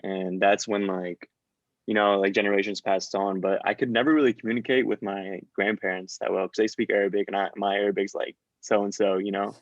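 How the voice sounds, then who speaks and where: Hesitant young man, gravelly low voice. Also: flat delivery, fairly quick, no room sound. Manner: hesitant, many fillers. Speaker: student asking a question. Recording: dull, dry lo-fi mic.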